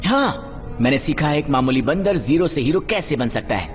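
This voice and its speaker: A man voicing a cocky, boastful young monkey hero in an animated film dub, excitable and cheeky. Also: fairly high pitch, normal speed, lightly acted. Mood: defiant, indignant. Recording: dull lo-fi audio under music.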